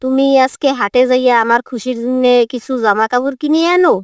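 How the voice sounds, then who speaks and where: A woman's voice: sits high, fairly quick, clear, resonant tone, no room sound, studio quality, close to an everyday voice. A woman voicing a hospitable, doting older mother-in-law who coaxes in a motherly way in a comedy cartoon. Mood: motherly, firm. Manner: coaxing, motherly.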